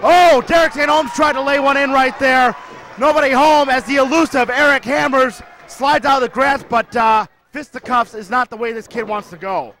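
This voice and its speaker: A man calling play-by-play for a wrestling show, excitedly naming moves and wrestlers. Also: extremely high pitch, moderate pace. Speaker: wrestling commentator. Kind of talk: play-by-play commentary.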